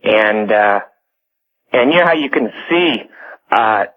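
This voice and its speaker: A young American man phoning a radio show to tell a personal story casually, in a halting, hesitant way over a telephone line. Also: on the low side, animated, slight background noise. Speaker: phone-in caller. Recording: tinny phone line on radio.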